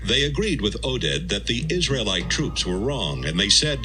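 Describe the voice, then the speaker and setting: A man narrating a scripted, dramatised Bible account as a measured, solemn storyteller. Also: on the low side, sing-song. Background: music.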